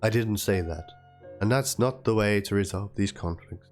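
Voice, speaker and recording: A man's voice: lowish voice, normal speed. A man narrating a written document aloud as a dramatic reading, with theatrical delivery. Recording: music under the voice. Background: background music.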